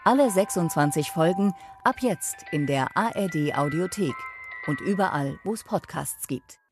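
A woman's voice: fairly low pitch, expressive, moderate pace, over music. A woman announcing a radio drama trailer in a dramatic, scripted style. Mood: solemn, dramatic. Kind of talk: dramatic scripted promo narration.

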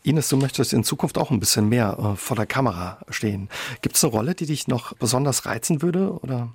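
A man's voice: mid-range voice, lively intonation, fairly quick, slight background noise. A man interviewing guests with informal, conversational questions.

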